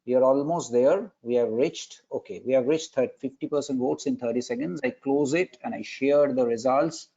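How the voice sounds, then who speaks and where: Guy running polls on a webinar, warm dark voice, kinda muffled. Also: mid-range voice, moderate pace, vocal fry, hardly any pauses. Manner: polite, procedural, counting down the time. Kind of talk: moderating an online session. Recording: dull lo-fi online call audio.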